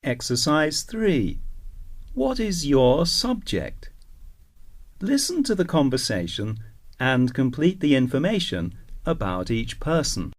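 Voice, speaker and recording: A man reading scripted English listening exercises deliberately, spelling words out letter by letter. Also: mid-range voice, big pitch swings. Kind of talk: scripted slow textbook dialogue.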